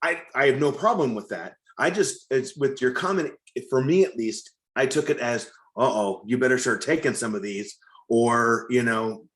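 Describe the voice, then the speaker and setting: A man with an average-pitched voice takes part in an online class discussion. He explains his situation haltingly and conversationally, circling toward his question. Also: fairly bright tone. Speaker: trainee asking a question. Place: online group call.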